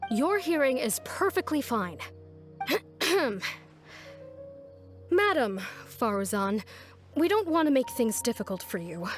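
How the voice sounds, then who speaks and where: A woman's voice: high-pitched, very animated, takes it slowly, long pauses, exaggerated voice. A woman playing a firm, polite academy official in a video game, formal and tactful while mildly exasperated. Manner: formal, reproving but tactful. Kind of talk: scripted video game dialogue. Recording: music behind the voice.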